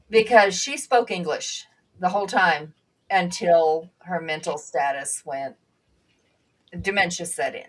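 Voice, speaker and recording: A woman whose first language was Bulgarian, a panel guest and former nursing assistant, speaking earnestly and reflectively with halting restarts. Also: fairly low pitch, recorded from a distance, poor audio. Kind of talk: conversational panel talk.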